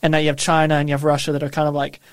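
Brisk American-accented man, dry studio. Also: on the high side, monotone, clean tone, dry recording. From Australia. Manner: brisk, agreeable, frequent affirmations. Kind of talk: casual Bible prophecy discussion.

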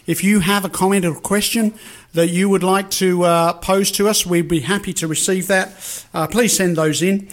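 Radio host, higher voice. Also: male voice, normal speed. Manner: relaxed, rambling with fillers.

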